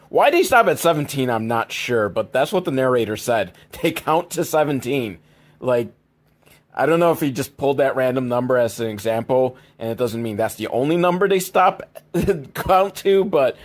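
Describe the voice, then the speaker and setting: A man hosting a comedy podcast, recapping films in a casual, rambling, sweary, mock-exasperated way. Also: medium pitch. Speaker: podcast host.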